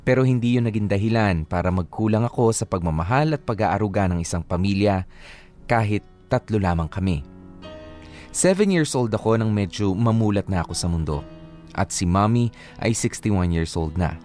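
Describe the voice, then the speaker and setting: Male actor as reflective narrator, lowish voice, measured first-person storytelling, radio drama, childhood memoir tone, light music underneath. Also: animated, a little mellow, close to an everyday voice. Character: grown narrator recalling a childhood in a second family.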